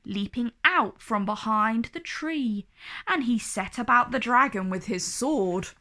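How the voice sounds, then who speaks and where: A woman storyteller reading a children's book aloud in an expressive, deliberate and dramatic narrating style. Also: on the high side.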